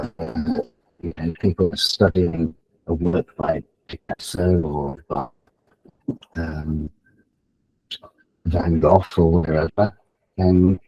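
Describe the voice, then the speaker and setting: Man, low husky voice, mellow tone, hesitant phrasing, informal teaching talk, online event. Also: muffled recording. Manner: halting, hesitant, repeats phrases while thinking aloud. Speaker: online education event speaker. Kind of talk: informal presentation, advice to teachers. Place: online event, close dry sound.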